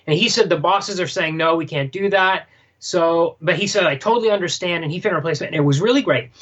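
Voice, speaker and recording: A man chatting casually as a podcast co-host, telling an anecdote in a halting way, often repeating phrases and quoting himself. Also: a little high, fairly bright tone, highs cut off. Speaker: podcast co-host and manga translator.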